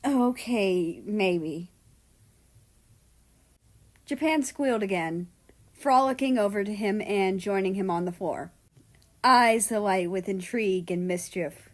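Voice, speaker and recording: A woman's voice: mid-range voice, normal speed. A woman narrating a scripted fan fiction story as a reader.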